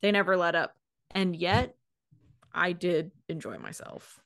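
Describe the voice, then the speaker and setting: An American woman in her thirties co-hosting a podcast. Her voice sits a little low, and she talks in a laid-back, colloquial way, as in casual conversation. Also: animated, measured pace, creaky, a bit bright. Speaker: podcast co-host. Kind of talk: casual chat.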